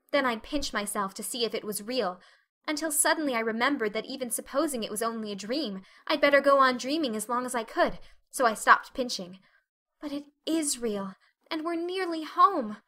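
A woman acts out a dreamy, talkative girl of eleven from a storybook. Her voice is high and bright. She gushes in a breathless, wide-eyed way. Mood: rapturous. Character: dreamy, chatty, excitable eleven-year-old orphan girl. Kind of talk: dramatic audiobook reading.

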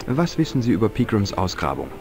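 An actor playing a polite, inquisitive young tourist hero in an adventure game, asking his questions clearly and courteously. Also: fairly low pitch, sing-song, fairly quick. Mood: friendly, curious. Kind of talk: dubbed adventure game dialogue. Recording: music behind the voice. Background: game music.

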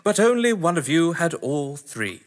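Man acting haughty, animated husband in echoey room. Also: on the high side, unhurried. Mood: mocking. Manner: verbose, sardonic, theatrical. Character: witty, haughty husband questioned by police. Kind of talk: audio drama acting. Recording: echoey hall-like reverb.

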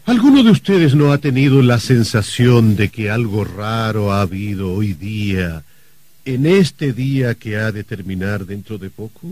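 Husky, gleefully menacing uncle. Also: male voice, lowish voice, expressive, a little mellow. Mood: mocking, ominous. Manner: theatrical, halting, sardonic. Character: gleeful, mocking, menacing uncle, a murdered novelist host. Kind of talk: melodramatic radio drama acting.

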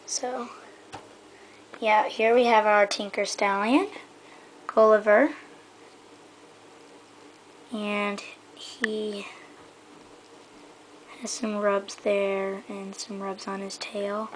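A woman giving a casual, rambling show-and-tell in a hesitant, halting way that often trails off. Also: medium pitch. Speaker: hobbyist giving a toy horse stable tour. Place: indoors in a room at home. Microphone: handheld camera held close by the speaker. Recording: noisy amateur home camera recording.

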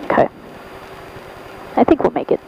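A woman's voice: normal speed, highs cut off, a little hiss or hum. A young woman student pilot in a small airplane cockpit, giving short, brief replies over a headset mic. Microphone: aviation headset boom mic.

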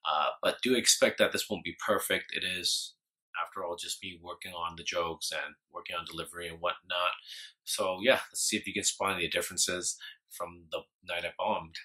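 A young man giving a relaxed, self-deprecating intro straight to camera from a room at home. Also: low-pitched, normal speed, low-quality recording. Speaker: channel host. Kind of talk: casual video intro to camera. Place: home room with bookshelf, indoors.

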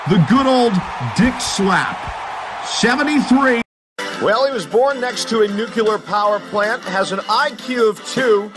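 A man doing mock basketball play-by-play as a parody sports commentator, with a profane, bombastic announcer delivery. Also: high voice. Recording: noisy, game crowd and court sounds. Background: basketball game ambience with bouncing ball.

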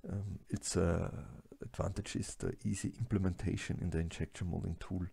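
Low gravelly man lecturing over slides. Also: fairly even intonation, warm tone, no echo. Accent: German-speaking. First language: German. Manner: halting, many fillers and restarts. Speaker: lecturer on plastics engineering.

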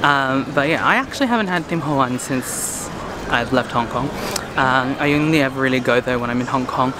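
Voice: medium pitch, noisy background. A young adult vlogger giving a chatty, rambling walking tour, filmed selfie-style at arm's length while strolling through streets and shopping centres. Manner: chatty, rambling, with fillers and asides. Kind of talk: casual vlog narration. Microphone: handheld selfie camera at arm's length.

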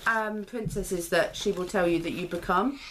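A woman's voice: fairly low pitch, moderate pace, faint music behind, low-quality recording. A woman, giving a casual toy review at home. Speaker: parent reviewing a toy with a child. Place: living room sofa, indoors. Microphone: camera microphone at a short distance. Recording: home camera recording with faint noise.

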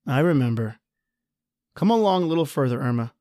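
A man's voice: medium pitch, lively intonation, brisk, mellow, lightly acted. A man playing a tense husband who leads his wife underground in a radio drama, curt and snapping.

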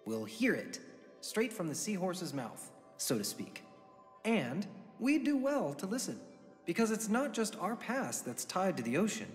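A man playing an earnest middle-aged marine biologist father with a measured manner in an audio drama. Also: sits high, sing-song. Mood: earnest, visionary. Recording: reverberant hall sound with music.

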